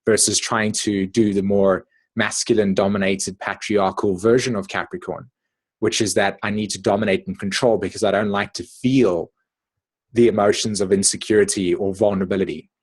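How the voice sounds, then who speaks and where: A man with a South African accent giving an informal, reflective talk in an online meeting, haltingly repeating words and checking in with his listeners. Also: lowish voice, fairly even intonation, breathy edge. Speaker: astrologer presenting at an online meeting. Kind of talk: informal spoken lecture with personal reflections.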